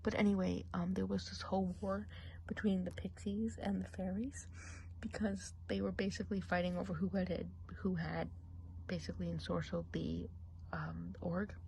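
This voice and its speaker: A woman plays a chatty witch of the woods, trading gossip in a low, gravelly hush that drifts between soft talk and whispers. Her tone is mellow and dark, with a faint hiss of noise behind her in this ASMR roleplay. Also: level tone, normal speed, poor audio. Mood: gossipy.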